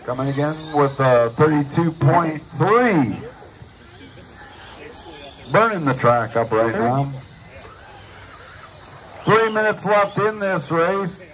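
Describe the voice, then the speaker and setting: A man's voice: a little high, big pitch swings, slow, long pauses, fairly bright tone. A man calling a live race as the announcer at an outdoor dirt track. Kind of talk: live race commentary over a PA. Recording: noisy outdoor track recording.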